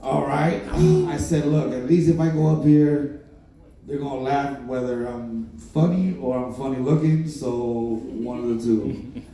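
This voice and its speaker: A man with a Puerto Rican accent and Spanish as his first language tells a rambling, off-the-cuff story at his first stand-up set. His voice is dark and mellow. It comes from far off the mic in a very echoey room. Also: medium pitch, measured pace. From Puerto Rico. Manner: rambling, self-deprecating, improvised storytelling. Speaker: stand-up comedian. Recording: distant, roomy live recording.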